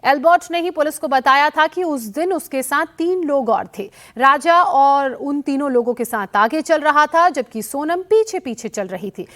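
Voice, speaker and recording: A woman's voice: high voice, animated, moderate pace, few pauses, fairly bright tone. A woman news presenter narrating a scripted report to viewers in an emphatic, explanatory way.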